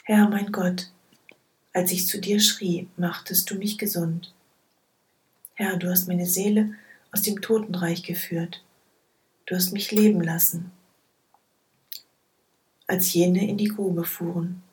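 Woman reading scripture real slow, low and hushed. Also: fairly even intonation, rough recording. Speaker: scripture reader. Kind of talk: slow, quiet Bible reading.

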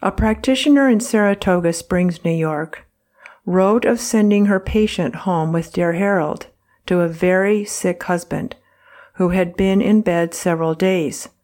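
A woman reading a historical account aloud as narrator, in a measured, earnest way. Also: lowish voice, a little mellow. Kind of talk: scripted narration.

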